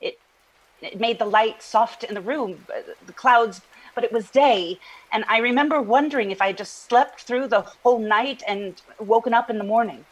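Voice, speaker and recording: Actress as a halting, shaken female witness. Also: on the high side, muffled recording, natural voice. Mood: uneasy recall. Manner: halting, earnest, precise recall. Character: shaken witness recounting a strange night. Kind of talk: audio drama interview testimony.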